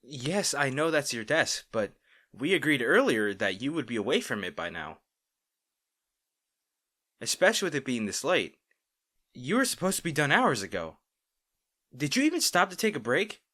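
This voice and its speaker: A worried, loving boyfriend acted by a man in an intimate audio roleplay. His medium-pitched voice rises and falls a great deal as he earnestly pleads with an overworked partner. The delivery is emphatic and caring. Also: big pitch swings, normal speed, long pauses, bright, forceful tone. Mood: exasperated.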